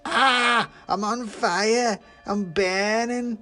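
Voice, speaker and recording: A man's voice: high-pitched, measured pace, faint music behind, pitched above the performer's own voice. A man voicing a huge, boozy, melodramatic talking Christmas pudding in a storybook reading. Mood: panicked outrage. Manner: exclamatory, melodramatic. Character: huge drunken Christmas pudding, gleeful then hysterically outraged, male. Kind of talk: theatrical storybook character voice.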